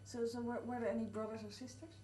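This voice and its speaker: A woman with a Dutch accent interviewing a guest, starting her questions haltingly and repeating words. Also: on the high side, little pitch movement, dark tone, a little hiss or hum. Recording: roomy, distant mic.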